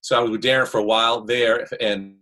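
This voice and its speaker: A man's voice: mid-range voice, fairly even intonation, a little fast, pushed and bright. A middle-aged man with a Boston accent, a basketball coach talking as a podcast guest in a rambling way, full of "you know" and "um". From Worcester, Massachusetts. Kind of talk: casual interview chat.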